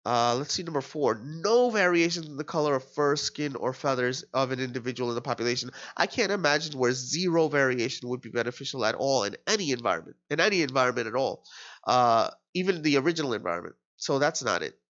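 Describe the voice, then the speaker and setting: A stuffed-up, nasal man in his thirties explains a test question at his desk, pausing on "uh" and "um" and restarting as he reasons aloud. His voice sits at an ordinary pitch. The recording is dull and low-fidelity, like a webcam mic. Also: expressive, fairly bright tone. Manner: thinking aloud with fillers and restarts. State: nasal from a cold. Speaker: tutor.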